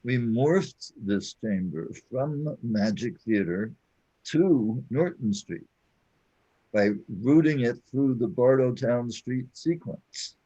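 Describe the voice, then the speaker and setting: Radio play role: man as knowing cosmic companion, declamatory, animated pitch, mellow tone, patient explainer. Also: dull audio. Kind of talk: scripted audio drama acting.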